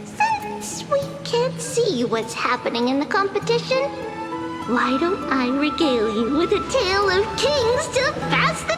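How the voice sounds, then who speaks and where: A tiny fairy storyteller in a video game, girlish and extremely high. She narrates slowly and grandly, with playful theatrical flourish. Loud music swells behind her. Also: big-room sound, heavily acted.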